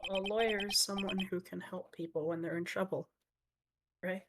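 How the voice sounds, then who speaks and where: A woman's voice: mid-range voice, fairly even intonation, normal speed, heavy background noise. A woman playfully reading game dialogue aloud among friends in a casual style. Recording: music behind the voice.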